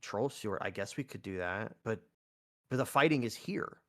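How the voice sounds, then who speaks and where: A man's voice: lowish voice, expressive, normal speed, fairly bright tone. A man playing a tabletop roleplay game, talking casually and hesitantly, with some swearing. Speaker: player.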